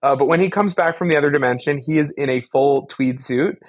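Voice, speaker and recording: A man's voice: on the high side, fast, no echo, studio quality. A man co-hosting a podcast, chatting casually about a favorite show in an effusive, playfully theatrical way. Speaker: podcast co-host. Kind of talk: casual fan discussion of an audio drama.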